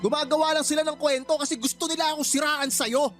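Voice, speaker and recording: A man's voice: very high voice, fairly even intonation, fast, music in the background. A man with a Filipino accent playing a lecherous, lying, blustering stepfather in a radio drama. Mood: angry, defensive. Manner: leering, wheedling, blustering.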